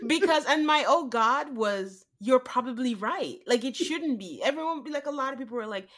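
A woman co-hosting a podcast, nasal and congested from a cold, chatting casually with quick asides and laughs. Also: high voice, lively intonation, fairly bright tone. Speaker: podcast co-host. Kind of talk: casual chat.

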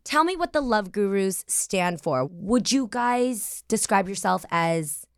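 An excitable, bubbly woman in her forties hosting an interview podcast, laughing easily. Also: mid-range voice, takes it slowly, dry recording, studio quality. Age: 40s. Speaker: podcast host.

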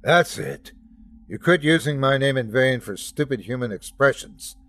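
A man's voice: medium pitch, lively intonation, normal speed, fairly bright tone. A man doing a cold, remorseless almighty god. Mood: angry. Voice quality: cold, commanding, emphatic.

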